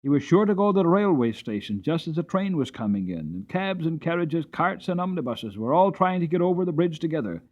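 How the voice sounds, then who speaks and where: Animated man reading a storybook. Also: fairly high pitch, brisk, hardly any pauses, muffled recording. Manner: expressive, theatrical emphasis. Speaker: audiobook narrator. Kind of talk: dramatic storybook narration.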